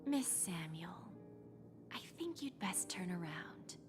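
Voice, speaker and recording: An actress voicing a smooth-talking woman who runs a wish-granting pawn shop in a video game, speaking in a coaxing, often whispered manner. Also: fairly low pitch, expressive, slow pace. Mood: sly. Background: game music.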